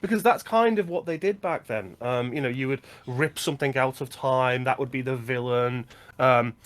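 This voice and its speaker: A man co-hosting a film podcast, chatting casually with quick asides and frequent laughs. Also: medium pitch, a bit bright. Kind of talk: casual chat.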